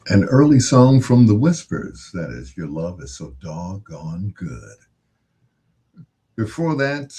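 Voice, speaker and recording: Husky, low-voiced man hosting radio. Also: dark tone. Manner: casual, musing. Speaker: radio host and DJ. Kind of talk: late-night music show chat, back-announcing songs.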